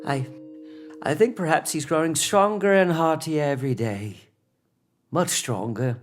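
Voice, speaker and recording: A performer playing a cheerful, gentle and devoted father in a radio play, jovial and expressive. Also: medium pitch, unhurried, soft background music, lightly acted. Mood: tender. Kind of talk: stage radio-play acting.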